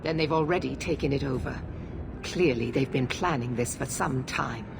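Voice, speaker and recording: A woman's voice: extremely low pitch, moderate pace, creaky, noisy background. A woman playing a stern, impatient knight commander in a video game, giving clipped, imperious orders. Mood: urgent, commanding. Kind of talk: video game cutscene acting.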